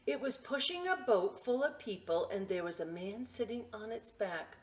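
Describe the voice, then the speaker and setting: A woman reading stories aloud to young children in a playful, expressive way, with chatty asides and questions she often answers herself. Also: mid-range voice, normal speed. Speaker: children's story time reader at a library.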